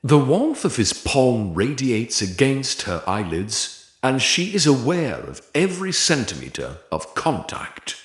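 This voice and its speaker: Male narrator for dark romance audiobook: very slow, deliberate phrasing, sweeping intonation, roomy echo. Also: medium pitch, very animated. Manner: deliberate, dramatic pauses between short phrases.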